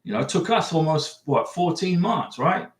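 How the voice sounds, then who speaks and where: A man's voice: fairly high pitch, lively intonation, normal speed, echoey room. A man hosting a livestream, chatting casually and rambling as he reads viewer comments and answers them. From United States. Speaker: livestream host giving visa advice. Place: home room.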